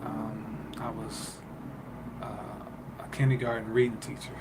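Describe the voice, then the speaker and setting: A man from Detroit in his thirties, a music producer answering interview questions in a halting way, with many fillers and restarts. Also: medium pitch, level tone. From Detroit, Michigan. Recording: noisy ambience behind voice.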